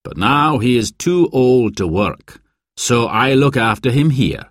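A man's voice: medium pitch, expressive, measured pace, no echo, studio quality, pitched above the performer's own voice, lightly acted. A man voicing a kindly older male hostel keeper who explains things patiently in a storybook.

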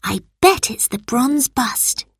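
A woman voicing an eager child plotting from a hiding place in a children's story, with excited urgency. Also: on the high side, takes it slowly, slightly rough, no room sound, clean studio sound, pitched above the performer's own voice, heavily acted. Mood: eager, secretive.

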